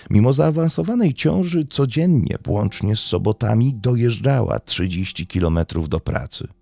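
A man reads a scripted true crime story in a measured, literary storytelling manner. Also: low voice, vocal fry, dark tone, dry recording. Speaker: true crime podcast narrator.

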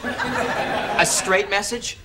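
A man's voice: high-pitched, fairly even intonation, drawn-out words. An actor in his thirties playing a pompous, bossy boyfriend in a TV comedy sketch, with a grandiose, nagging manner. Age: 30s. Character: pompous, bossy boyfriend obsessed with his answering machine messages. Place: TV studio apartment set.

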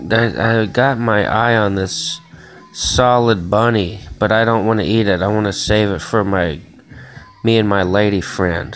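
A man rambling casually and swearing often in a home vlog, filmed on a handheld phone in a small room. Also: fairly low pitch. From Chicago area. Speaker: vlogger. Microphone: phone camera held in hand.

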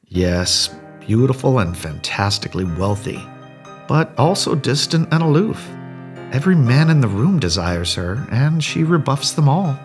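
A man's voice: mid-range voice, sing-song, a little slow, faint music behind. Man acting hearty, swooping Victorian society chum. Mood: admiring, gossipy. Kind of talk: theatrical audio drama acting.

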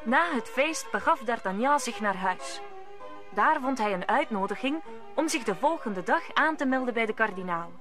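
A woman's voice: on the high side, animated, normal speed. A woman narrator telling the story of a radio play in scripted storytelling style. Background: music.

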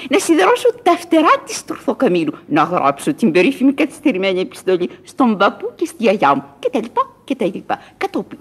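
Husky, eccentric old grande dame. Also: female voice, lowish voice. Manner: theatrical, declamatory, musing. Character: eccentric old Parisian madwoman, grand, whimsical, imperious. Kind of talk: Greek radio theatre acting.

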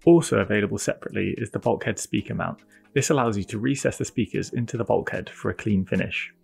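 Gravelly low-voiced man narrating. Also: fast talker, a little mellow, faint music behind. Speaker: product video narrator. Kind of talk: scripted product narration.

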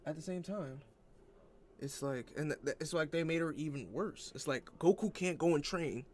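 A man's voice: a little high, normal speed. A man giving casual opinion commentary as an online anime fan, in an argumentative, exasperated manner.

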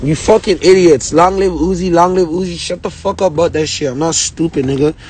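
A young man live-streaming from inside a car, shouting hyped and profane, straining his voice, on a phone held at arm's length. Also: fairly high pitch, brisk, slight background noise. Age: young adult.

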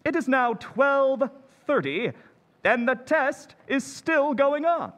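A male announcer giving measured, wry scripted narration for an old-time radio comedy recreation. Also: very high-pitched, animated, fairly bright tone, big-room sound.

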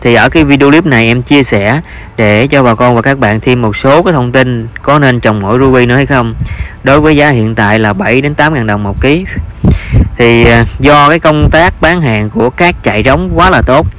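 A man's voice: medium pitch, moderate pace, a bit bright, slight background noise. A man with a Southern Vietnamese accent narrates an informal vlog as a farmer, with hesitant, repetitive phrasing.

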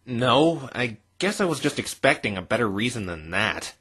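Woman as a flustered low-voiced young male lawyer. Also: sing-song, normal speed, a bit bright, slightly rough, exaggerated voice. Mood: earnest. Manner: stammering when flustered. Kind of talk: visual novel fandub acting.

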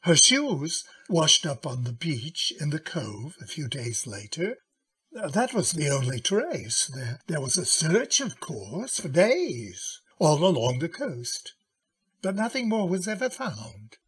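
An actor playing a courtly, cautious middle-aged gentleman lawyer in an old-time radio drama, husky, courteous and hesitant. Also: on the high side, very animated, takes it slowly, heavily acted. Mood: guarded, grave.

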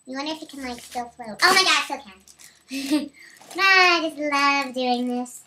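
A teenage young woman with a squeaky helium-altered voice, chatting casually and giddily in a homemade vlog. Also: normal speed. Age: teens. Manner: giggly, giddy, playful. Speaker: vlogger. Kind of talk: casual excited vlog chat. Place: small bedroom, indoors. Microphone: camera a short distance away. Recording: faint noise, home camera audio.

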